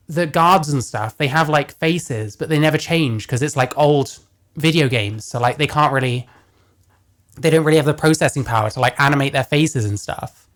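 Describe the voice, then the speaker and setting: A man with a deeper voice giving casual reaction commentary, blunt and profane as he rants. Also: fairly bright tone. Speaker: commentary host.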